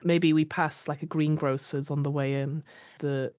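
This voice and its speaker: A woman's voice: low-pitched, fairly even intonation, moderate pace, a little mellow, no echo, clean studio sound. A woman playing a tabletop roleplaying game, talking casually in hesitant, rambling sentences. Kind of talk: casual improvised game talk.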